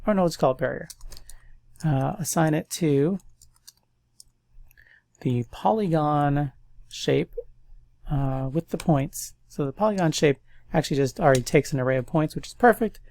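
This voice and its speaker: A man's voice: a little high, moderate pace, gravelly, long pauses, dry recording. Stuffy-nosed man walking through code. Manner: thinks aloud, relaxed and chatty. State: stuffy nose. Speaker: coding tutorial host. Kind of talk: casual screen-recorded coding walkthrough.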